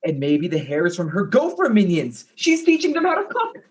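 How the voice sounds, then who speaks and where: A man's voice: sits high, wildly swooping pitch, moderate pace. A man playing a timid, eager detective's helper in his thirties, hesitant, in a comic audio drama. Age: 30s.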